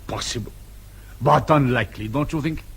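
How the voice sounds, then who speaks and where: An actor playing a courteous, deliberate police colonel in a radio drama, gently sardonic and measured with hesitations. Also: male voice, medium pitch, vocal fry, breathy edge. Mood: wry, conceding.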